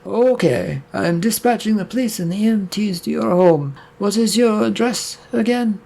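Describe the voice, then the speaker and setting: A man voicing an emergency phone dispatcher asking brisk, urgent questions. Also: sits high, lively intonation, gravelly, dark tone, pitched above the performer's own voice, heavily acted.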